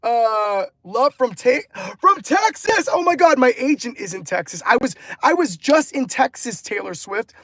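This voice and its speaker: A man's voice: extremely high pitch, very animated, unhurried, fairly bright tone, no room sound. Effusive man hosting a live stream. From Los Angeles, California. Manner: upbeat, effusive, laughs often, repeats words. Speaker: livestream host and motivational coach. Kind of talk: live stream chat, reading out comments.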